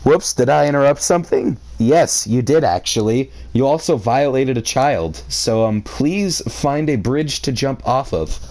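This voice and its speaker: A man's voice: medium pitch, animated, unhurried, few pauses, a little mellow, no room sound. A man reading a story aloud and reacting to it with theatrical, exclamatory commentary and sarcastic asides. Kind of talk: live read-aloud with outraged commentary.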